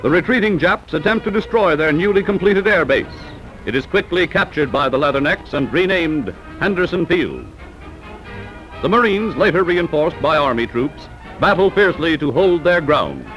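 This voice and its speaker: A man's voice: fairly high pitch, expressive, moderate pace, a bit bright, soft background music, rough recording. An American man narrating a 1940s newsreel in a dramatic, emphatic, declamatory way, on a vintage film soundtrack.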